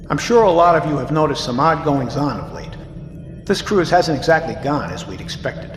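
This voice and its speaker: An actor playing a steady, grave ship's captain, addressing his crew in a measured, authoritative way in an audio drama. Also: a little high, reverberant, big-room sound, lightly acted. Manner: measured, authoritative, plain-spoken. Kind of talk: scripted audio drama, formal announcement.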